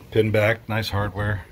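A man giving a relaxed, casual walkthrough of a boat he is looking at, thinking aloud as he goes, recorded outdoors in a marina on a camera mic. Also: on the low side, noisy background. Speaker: boat owner touring a yacht.

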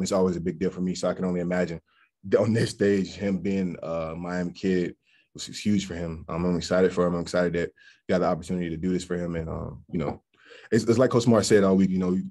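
Deep, fast-talking young man at a press conference. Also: dark tone. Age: young adult. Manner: earnest, rambling with fillers and restarts. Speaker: college football offensive lineman. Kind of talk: post-game press conference answers. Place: press backdrop room, indoors. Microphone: video call.

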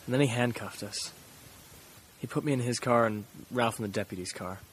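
A man's voice: mid-range voice, fairly quick, close to an everyday voice. A man playing an earnest, easily rattled teenage boy in an audio drama, speaking in a casual, slangy way. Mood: recounting. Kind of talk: audio drama acting and first-person recounting. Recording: faint background noise.

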